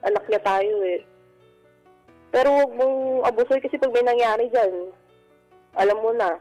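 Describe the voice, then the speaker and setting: A woman's voice: a little high, moderate pace, warm tone, highs cut off. A woman calling a radio advice show, speaking in a plain, exasperated, everyday way about personal matters. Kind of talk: personal appeal in everyday speech. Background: music.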